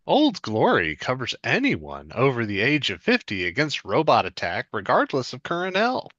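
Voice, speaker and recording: Sketch old lady played by a man: matter-of-fact, animated intonation, dry and slightly muffled sound. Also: mid-range voice, normal speed, no room sound. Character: chatty elderly woman reassured by robot insurance. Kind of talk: sketch comedy table read.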